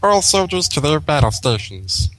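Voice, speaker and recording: A man's voice: a little high, sing-song, normal speed, a bit bright, no room sound, low-quality recording. A man voicing a self-important, stern young pony girl general who barks orders in a fan fiction reading. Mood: barking, urgent. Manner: barking orders.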